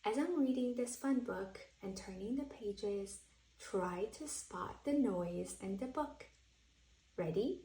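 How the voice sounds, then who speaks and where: Casting: female children's storybook reader, very unhurried, expressive and dramatic, lively intonation. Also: medium pitch, poor audio. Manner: dramatic, addressing young children. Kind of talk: expressive bedtime story read-aloud.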